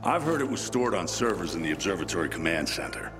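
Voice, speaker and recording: Bitter, swooping military lieutenant. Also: male voice, low-pitched, sing-song, fast talker, long reverb tail, music in the background. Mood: resigned, commanding. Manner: profane, sarcastic, commanding. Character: gruff bitter male military lieutenant, battle-worn, sarcastic. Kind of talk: video game dramatic acting.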